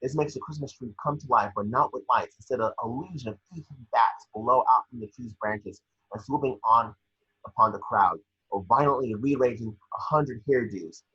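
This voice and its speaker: A man with a husky voice reading scene descriptions at a table read, sometimes stumbling over the script. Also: medium pitch, moderate pace, sharp tone, muffled recording.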